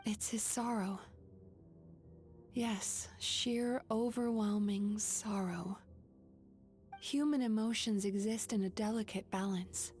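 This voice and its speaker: A woman playing a calm, resolute young mystical nightmare hunter in an anime game, explaining things with composure. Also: medium pitch, slow, long pauses, faint music behind, natural voice. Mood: gently solemn. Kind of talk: anime video game voice acting.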